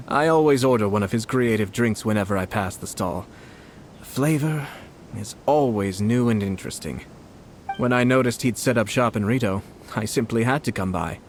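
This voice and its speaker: An actor playing a courteous noble commissioner. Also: male voice, medium pitch, slight background noise, natural voice. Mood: calm. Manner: polite, formal, measured. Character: young refined male noble commissioner, courteous. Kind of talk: game voice acting.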